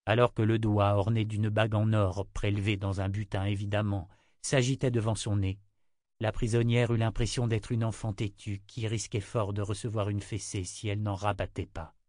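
A man reading a fantasy novel aloud in a measured, deliberate way as its narrator. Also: fairly low pitch, flat delivery, a little mellow, dry recording, studio quality. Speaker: audiobook narrator. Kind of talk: scripted narration.

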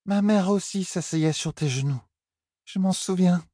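A drunk young heiress whines and snaps in a high, swooping voice, as a man puts her on for an audiobook. Her words drag, breathy and slurred, lurching between pleading and outrage. The sound is close and dry. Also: a little slow, dark tone, no echo. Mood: drunk, petulant. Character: drunk young royal heiress, petulant and tearful.

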